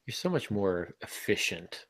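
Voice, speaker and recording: A man speaks in a low voice with a gravelly, creaky edge, recorded close and dry. He talks casually as a podcast co-host, thinking aloud in reflective, halting phrases. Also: very animated, a bit bright, no echo.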